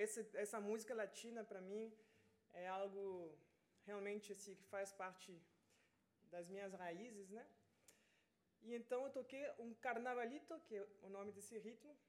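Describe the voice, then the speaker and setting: A woman chats with a concert audience between songs, hesitating often and tagging her sentences with "né?". Her pitch sits in a middle range, and her speech is relaxed and unscripted. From Venezuela. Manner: chatty, with frequent hesitations and "né?" tags. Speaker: musician performing a concert. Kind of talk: casual stage talk between songs. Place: concert venue, live audience.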